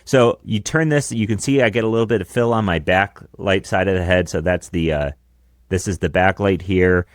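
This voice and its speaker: A man in his thirties with a deep voice, co-hosting a podcast in casual chat from a small home studio on a close broadcast mic. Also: normal speed, no room sound. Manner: chatty, explaining his gear with casual asides. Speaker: podcast co-host. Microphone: close dynamic broadcast mic.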